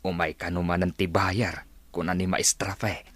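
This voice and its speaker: An actor with a Filipino accent playing a gentle, proud, doting father in a radio drama. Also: deep voice, little pitch movement, moderate pace, dry recording. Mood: tender. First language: Ilocano.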